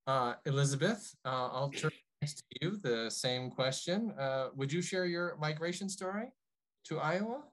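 A man moderating a panel discussion over a video call, friendly and halting, with many fillers. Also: a little high, animated, a bit bright, rough recording. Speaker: host and moderator.

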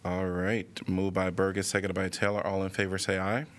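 A man chairing a city council meeting, reading agenda items and calling votes in a measured, procedural way. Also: sits low, completely flat delivery.

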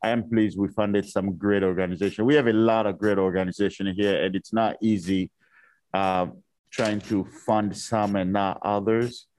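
A man's voice: fairly low pitch, steady tone, normal speed, a little mellow. A man commissioner speaking earnestly with simple phrasing in a city meeting.

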